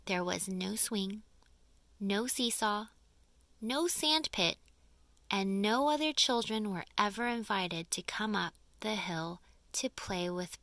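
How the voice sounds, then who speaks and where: A woman reads a children's story aloud in a bright, forward voice. She takes her time, phrasing each line deliberately and leaning on key words for dramatic effect. The sound is dry and close. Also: medium pitch, no echo. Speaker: audiobook reader. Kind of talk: expressive children's storybook narration.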